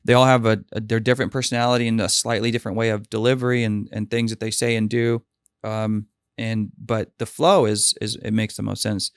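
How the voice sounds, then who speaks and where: Animated man telling wedding stories. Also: fairly low pitch, a little fast, clean tone, dry recording, clean studio sound. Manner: animated storyteller, acts out quotes. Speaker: wedding DJ and podcast host. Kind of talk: casual podcast chat.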